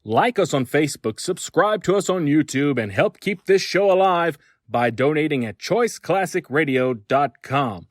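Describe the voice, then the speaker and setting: A man reads a scripted show introduction as host. His voice is on the high side and very animated, recorded close and dry. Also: moderate pace, no room sound. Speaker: host of old-time radio show.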